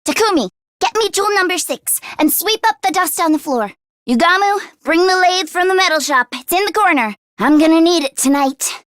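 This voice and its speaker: A woman voicing an earnest, anxious teenage girl mechanic in an anime-style video game, expressive and shifting between timid and sassy. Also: very high-pitched, normal speed, dry recording, clean studio sound. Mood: bossy. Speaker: voice actress.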